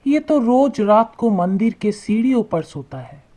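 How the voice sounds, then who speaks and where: A woman voicing a helpful, respectful male temple-goer who explains things in an animated moral tale. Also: on the high side, measured pace, slightly rough. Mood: correcting.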